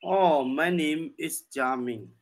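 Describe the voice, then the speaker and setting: A man's voice: fairly high pitch, slow pace. A man in his thirties with a Chinese accent, practising conversation in hesitant, broken English and repeating his words. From China. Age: 30s. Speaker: English learner. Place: online video lesson call.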